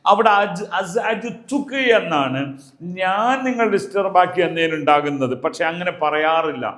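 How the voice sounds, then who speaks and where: Male language teacher, Malayali accent, high-pitched voice, explanatory delivery with deliberate repetition, recorded in a reverberant room. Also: a bit bright. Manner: explanatory, repeats example phrases slowly and deliberately. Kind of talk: spoken language lesson. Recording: echoey room.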